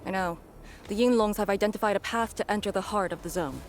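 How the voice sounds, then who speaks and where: A woman voicing a grave, resolute veteran leader in a video game, speaking in a measured, solemn way. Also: medium pitch, slight background noise, close to an everyday voice. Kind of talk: scripted video game dialogue.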